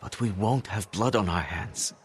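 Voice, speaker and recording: An actor playing a stubborn, principled pacifist healer monk, earnest and pleading, in a dramatic video game cutscene. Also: male voice, on the low side, moderate pace, breathy edge. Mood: reproachful, defiant. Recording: background music under the dialogue.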